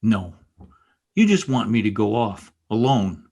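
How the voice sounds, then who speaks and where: A rough, husky voice of an actor playing a brooding young male outcast. The delivery swings widely in pitch, intense and wounded in the old-time radio drama manner. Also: sing-song, a little slow, warm tone, full character voice. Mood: bitter passion.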